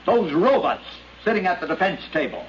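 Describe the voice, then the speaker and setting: A dull, faintly noisy 1950s radio recording. a stern male judge with a high-pitched voice, pompous and formally indignant. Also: animated, a little fast. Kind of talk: 1950s radio drama acting.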